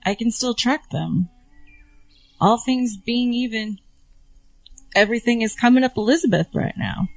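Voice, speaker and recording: A woman's voice: fairly high pitch, measured pace, creaky, long pauses, no room sound, faint music behind, rough recording. A woman acting a confident tracker and fighter in an audio drama.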